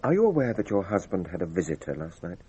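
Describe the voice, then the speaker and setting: A man's voice: deep voice, big pitch swings, fast talker, mellow, husky, dull audio, exaggerated voice. A man acting in a radio drama as a formal, polite examining magistrate who questions methodically. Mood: probing. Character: formal, considerate male French examining magistrate questioning a witness.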